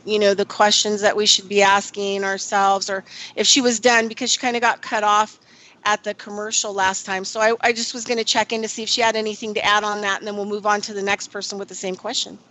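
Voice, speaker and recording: A woman's voice: medium pitch, sharp tone, poor audio. A woman hosting a radio panel, casual and chatty with fillers as she asks long questions. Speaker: radio show host.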